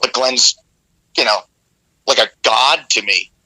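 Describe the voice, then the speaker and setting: A man, a rock vocalist, telling studio stories in a candid, animated way in an interview, with casual swearing. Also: mid-range voice, a little slow, vocal fry, poor audio.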